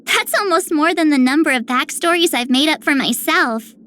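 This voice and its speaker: An actress voicing a sweet, affectionate, childlike heroine in a video game. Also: female voice, sits high, normal speed, bright, forceful tone, slight background noise. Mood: bubbly, eager. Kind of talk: video game character voice lines.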